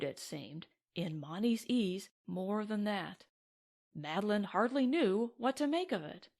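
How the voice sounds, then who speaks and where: A woman's voice: medium pitch, darkish tone. A woman reading scripted narration from a western novel as an audiobook. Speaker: audiobook reader.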